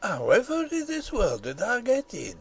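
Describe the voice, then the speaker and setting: Lady doing cranky old yorkshire gardener guy, blustering, pitch all over the place, audiobook. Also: huge pitch swings, moderate pace, gravelly, slightly rough, heavily acted. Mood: outraged, incredulous. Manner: blustering, haranguing. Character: grumpy, indignant old male gardener, scolding. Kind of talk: audiobook character voice.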